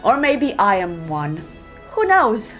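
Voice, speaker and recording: A woman's voice: medium pitch, very animated, slow pace, fairly bright tone, soft background music. A young woman vlogging as she rants sarcastically and profanely through online quizzes. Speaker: YouTuber. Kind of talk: reacting to online quizzes to camera.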